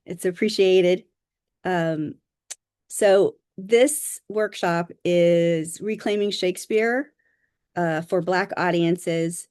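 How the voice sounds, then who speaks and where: A woman from Southern California, an author introducing herself on a video call, speaking modestly and haltingly with fillers. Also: fairly low pitch, darkish tone, clean tone, dry recording, studio quality. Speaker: author presenting a workshop.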